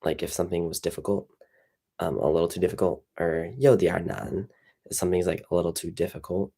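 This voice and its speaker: A young man with a very low, gravelly voice chats on a livestream, sounding tired. He rambles loosely and fills gaps with "like" and "um." His tone is dark and slightly husky. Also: fast talker. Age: young adult. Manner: relaxed, rambling, many fillers. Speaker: streamer. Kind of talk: casual livestream chat.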